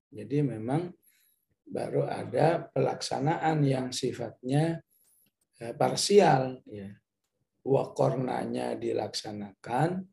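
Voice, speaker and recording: Mellow, creaky male Islamic teacher. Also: medium pitch. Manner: didactic, halting, frequent confirming tags. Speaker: Islamic teacher, Quran commentator. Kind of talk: religious lecture explaining scripture, with Arabic recitation.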